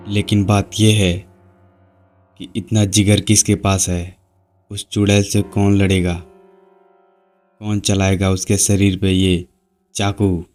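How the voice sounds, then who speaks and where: A man's voice: low-pitched, darkish tone. A man voicing a stern old aghori ascetic who gives grave warnings, in a horror cartoon.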